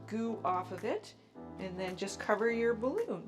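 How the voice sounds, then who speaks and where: Woman giving instructions over clear music. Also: mid-range voice, very animated, a little slow. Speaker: craft instructor, assistant. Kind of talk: casual hands-on instruction.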